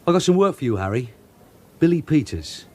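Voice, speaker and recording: A man playing a brisk, authoritative British police detective sergeant in a radio drama, speaking in clipped orders. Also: a little high, very animated, dark tone. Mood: commanding. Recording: noisy background with vehicle sounds.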